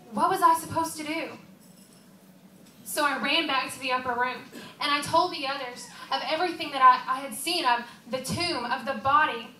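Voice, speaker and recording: A woman performs as a devoted female disciple in mourning, telling her story as an emotional stage monologue. Her voice is high and bright, earnest and confiding. It is picked up at a distance in a roomy, echoing space. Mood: sorrowful, haunted. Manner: earnest, emotional, confiding, with pauses and rhetorical questions. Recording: roomy, distant mic, faint background noise.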